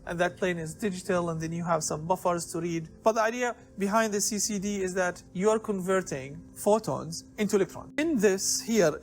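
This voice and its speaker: A man giving an informal explanatory lecture in a didactic way, posing questions and answering them himself. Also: high-pitched. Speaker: lecturer in biomedical engineering.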